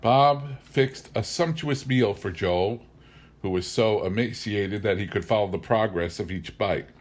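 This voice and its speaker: A man narrating a humorous memoir as an audiobook, with wry, measured storytelling. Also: lowish voice. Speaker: audiobook reader.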